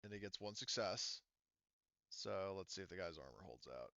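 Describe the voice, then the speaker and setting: A man's voice: sits low, lively intonation, normal speed, gravelly, sharp tone, dull audio, slight background noise. A man casually narrating a tabletop game as game master, thinking aloud through the rules in an informal way.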